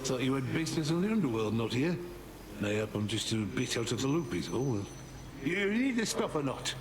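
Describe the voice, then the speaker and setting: Actor voicing shady trader, colloquial, lively pitch, casual swagger, fantasy game, noisy ambience. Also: male voice, brisk, lightly acted. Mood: offhand, suspicious. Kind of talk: colloquial video game dialogue.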